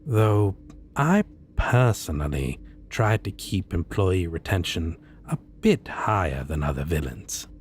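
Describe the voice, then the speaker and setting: A man playing a suave, courteous yet menacing supervillain interviewer in a soft-spoken, deliberate ASMR roleplay. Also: low-pitched, big pitch swings, a little hiss or hum, full character voice. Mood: approving, businesslike. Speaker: voice actor.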